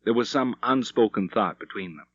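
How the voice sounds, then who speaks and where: Animated male storyteller, dry sound. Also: fairly low pitch, moderate pace, breathy edge, no echo. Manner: dramatic, vivid delivery. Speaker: audiobook narrator. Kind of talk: expressive storytelling narration of a Western novel. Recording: slightly dull, dry studio recording.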